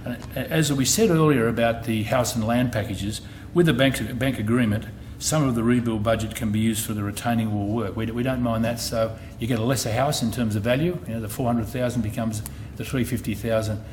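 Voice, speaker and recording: A middle-aged man with an Australian accent, an insurance executive giving a presentation at a public meeting, measured and often restarting his phrases. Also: medium pitch, steady tone, a little mellow. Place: meeting hall. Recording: noisy meeting room recording.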